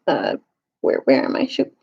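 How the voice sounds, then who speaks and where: A woman's voice: measured pace, a little mellow, lo-fi sound. A woman reading a children's storybook aloud as a storytime reader, sometimes stumbling over longer words.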